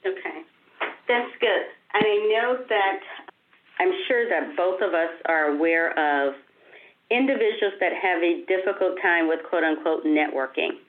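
A woman's voice: fairly low pitch, animated, a little slow. Woman host over a phone line. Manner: conversational, repeats words, restarts. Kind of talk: interview hosting.

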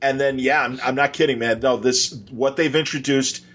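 A man's voice: medium pitch, steady tone, normal speed, fairly bright tone, muffled recording. A man hosting a podcast, chatting casually and enthusiastically in an informal, rambling way. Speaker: podcast host.

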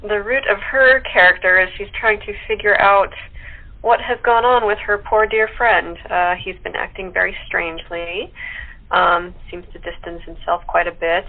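A woman with a medium-pitched voice chats as an interview guest. She talks informally and conversationally. Her voice comes through a narrow, tinny telephone connection. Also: fairly even intonation, moderate pace. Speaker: radio play actress as guest. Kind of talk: casual interview chat. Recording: tinny, narrow phone-line sound.

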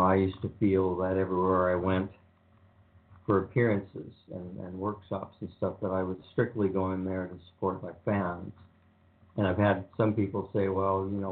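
An older man hosting a radio show, talking in a relaxed, rambling monotone with many pauses and ums. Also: low-pitched. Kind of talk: casual radio talk. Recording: phone-like narrow sound.

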